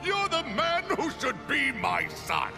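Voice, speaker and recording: A man's voice: very high voice, big pitch swings, takes it slowly, creaky, loud music behind the voice, heavily acted. An actor playing a menacing, grandiose devil king and possessive father in a video game cutscene. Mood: gleeful, triumphant. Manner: grandiose, swinging between menace and gleeful exclamation.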